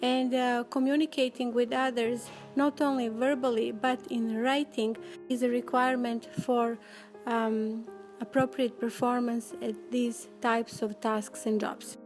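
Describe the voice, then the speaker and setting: A woman whose first language is Serbian speaks in a formal, measured way, pausing often on "uh" as she builds her sentences. Her pitch sits a little high but barely moves, and music plays clearly underneath her. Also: flat delivery, darkish tone. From Yugoslavia. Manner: measured, formal, frequent "uh" pauses. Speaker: interviewee. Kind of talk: interview answers.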